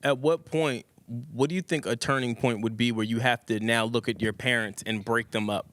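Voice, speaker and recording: A man's voice: medium pitch, steady tone, moderate pace, a bit bright, dry recording. A man in his thirties hosting a podcast, steering a casual group chat with questions on a handheld mic in an office lounge. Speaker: podcast host.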